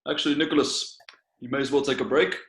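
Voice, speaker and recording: A man's voice: medium pitch, steady tone, fast talker, a bit bright, close to an everyday voice. A man voicing a fatherly male village police chief who talks in a soothing, patronizing way. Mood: placating. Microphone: video call.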